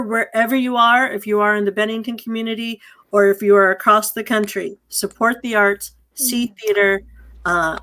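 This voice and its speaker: A woman's voice: medium pitch, a bit bright. A woman informally hosting an online event over a video call, speaking in an effusive, thankful manner. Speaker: host and director.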